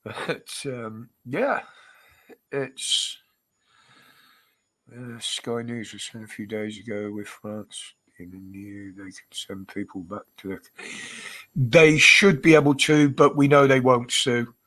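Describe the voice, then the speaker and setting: British male streamer, congested nose, unhurried chatty delivery. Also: medium pitch, steady tone, a bit bright, rough recording. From United Kingdom. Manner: chatty, greets viewers by name, chuckles often. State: stuffy, blocked nose. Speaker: livestream host. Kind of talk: casual livestream chat.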